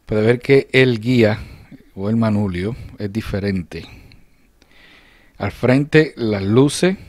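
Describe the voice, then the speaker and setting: A man narrating a casual hands-on demonstration as a channel host, often halting to search for words. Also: fairly low pitch, a little mellow, no echo, clean studio sound. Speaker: host of a diecast restoration channel. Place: indoors at a hobby table.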